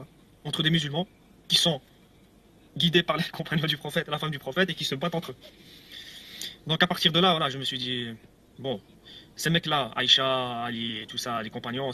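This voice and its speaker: A man's voice: medium pitch, pushed and bright. A man telling his own story on video, rambling and hesitant, with repeats and nervous laughs. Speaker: ex-believer giving a testimony video. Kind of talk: casual video testimony.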